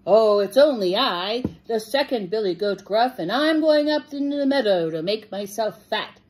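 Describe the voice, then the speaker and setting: A woman's voice: mid-range voice, expressive, moderate pace, a little mellow, lightly acted, poor audio. A woman voicing a middle-sized male billy goat in a storybook, earnest and pleading in a fuller voice. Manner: earnest, firm, not so small a voice.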